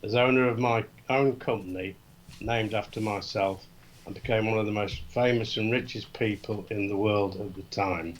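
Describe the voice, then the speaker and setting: A man reading out quiz questions in a steady, matter-of-fact way on a casual live show. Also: on the low side, moderate pace, rough recording. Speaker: quiz guest.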